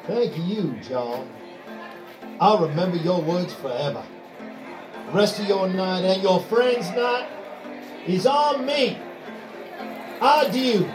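A man's voice: sits high, expressive, slow pace, long pauses, rough recording. A man playing a brooding, courtly former stage actor with a dramatic manner in an audio drama. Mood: grand, grateful. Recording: music behind the voice.

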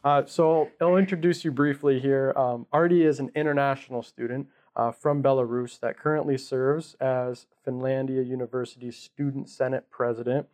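Young male host of a campus show, friendly, lots of um and uh, mid-pitched voice. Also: a little mellow. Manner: friendly, filler-heavy questions.

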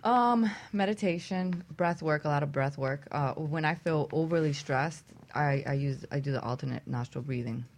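A woman's voice: deep voice, normal speed, few pauses, slight background noise. A woman speaking casually as a yoga teacher on a talk show panel.

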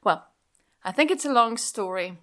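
A woman's voice: a little high, animated, moderate pace, a bit bright. Swiss-accented woman pastor telling her story. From Switzerland. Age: young adult. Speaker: senior pastor, guest. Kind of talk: interview storytelling.

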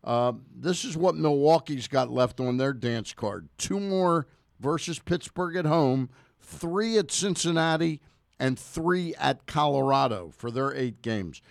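American guy hosting sports radio, gravelly voice, dry studio sound, chatty and casual. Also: on the high side, measured pace, no room sound. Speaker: sports talk show host.

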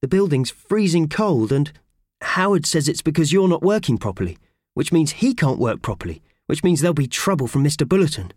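A man voicing a small, earnest, practical creature in a children's story, speaking in a brisk explanatory way. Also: a little high, lively intonation, darkish tone, dry recording, clean studio sound, voice pushed up. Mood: earnest, helpful.

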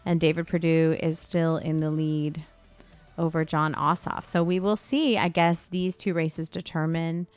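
Woman, slightly low voice, podcast co-host, expressive casual storytelling, faint music behind. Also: measured pace, no echo. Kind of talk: casual comedic chat.

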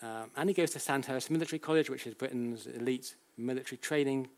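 Male British lecturer, mid-range voice, informal explainer style, halting delivery with self-corrections and rhetorical questions. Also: medium pitch, steady tone. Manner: conversational, checks in with rhetorical questions. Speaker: academic lecturer. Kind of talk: public lecture, explanatory and anecdotal.

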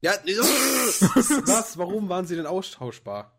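A man's voice: high-pitched, big pitch swings, a little slow, breathy edge. Rambling man chatting on a podcast. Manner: rambling, many fillers and restarts. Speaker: podcast co-host. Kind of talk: casual chat about anime.

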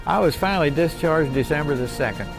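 A man playing an American war veteran, halting and plain-spoken, reminiscing conversationally in a radio drama. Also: on the high side, animated, over music, low-quality recording. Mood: matter-of-fact. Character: American WW2 veteran plainly recalling his war memories.

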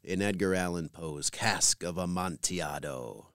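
A man's voice: low voice, a little slow, fairly bright tone, smooth, no room sound, studio quality. A man with an American accent reading scripted introductions as a podcast host.

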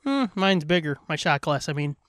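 Male podcast co-host, very lively pitch swings, close dry sound, crude joking banter. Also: normal speed, no room sound. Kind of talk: casual comic banter.

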